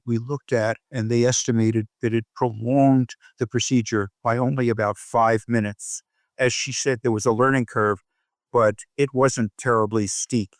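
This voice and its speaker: A man speaking as a physician researcher in an interview, explaining study findings in a measured, deliberate way with halting phrasing. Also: medium pitch, dry recording.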